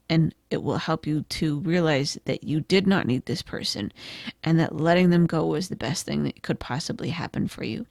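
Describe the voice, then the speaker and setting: A woman losing her voice gives a gentle, reflective tarot reading, with frequent "I feel like" and "kind of". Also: deep voice, creaky, no echo, studio quality. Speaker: tarot reader. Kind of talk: pick-a-card tarot reading.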